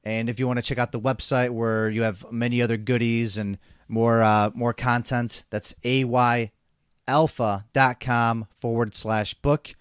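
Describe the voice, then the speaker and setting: Brief: male podcast host, smooth resonant voice, upbeat, tripping over his words, dry close mic. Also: medium pitch, little pitch movement, measured pace, no echo. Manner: upbeat, stumbling, repeats words. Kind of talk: casual interview hosting.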